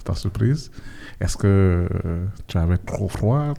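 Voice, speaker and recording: Deep-voiced man hosting, mellow tone. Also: animated, slow pace, vocal fry. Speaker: host. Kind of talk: podcast interview with youths.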